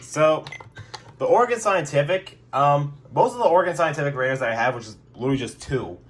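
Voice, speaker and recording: A man's voice: on the high side, animated, a little fast, fairly bright tone. A young man rambling casually through a review vlog in his bedroom, joking and dropping lots of fillers. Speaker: weather radio collector.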